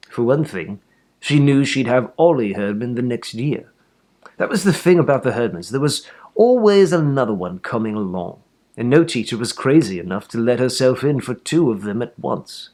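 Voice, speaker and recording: A man narrates a storybook for children in a very lively, mid-pitched voice. His delivery is expressive, with theatrical emphasis and wide swings in pitch. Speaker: storybook reader.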